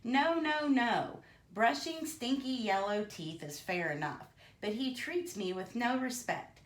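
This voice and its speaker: A woman's voice: a little high, expressive, unhurried, echoey room, pitched above the performer's own voice. A woman voicing an aggrieved male toothbrush in a storybook, scolding with dramatic, emphatic indignation. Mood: indignant, sobbing.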